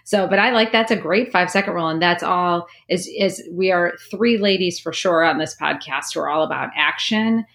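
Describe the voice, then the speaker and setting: A woman hosting a podcast, upbeat and quick to laugh while she asks questions in casual talk. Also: fairly low pitch, fairly bright tone. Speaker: podcast host.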